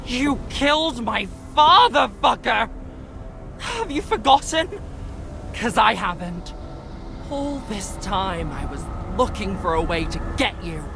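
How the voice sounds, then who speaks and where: A vengeful, slow-spoken, bitter daughter. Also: female voice, on the high side, sing-song, vocal fry, exaggerated voice. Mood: furious. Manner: emphatic, scornful, spitting out words. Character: vengeful, bitter young noblewoman, armed and defiant. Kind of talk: dramatic video game cutscene acting. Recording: music behind the voice.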